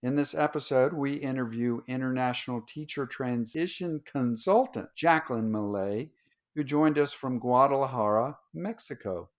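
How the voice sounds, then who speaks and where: A man hosting a podcast, reading its scripted intro in an even voice. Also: mid-range voice, moderate pace. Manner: even, read-aloud delivery. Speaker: podcast host.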